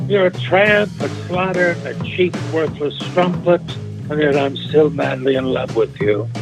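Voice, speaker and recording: A bitter, lovestruck film noir leading man, speaks in a raised, high voice. His delivery is fifties melodrama, scornful and adoring at once. Guitar and drum music plays clearly behind. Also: a little slow. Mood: bitter, lovesick.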